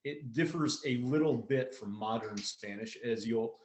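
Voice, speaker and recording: A male cantor explains a song before performing it. He has a medium-pitched voice and a halting, informative manner, heard in a noticeably echoey room. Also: darkish tone.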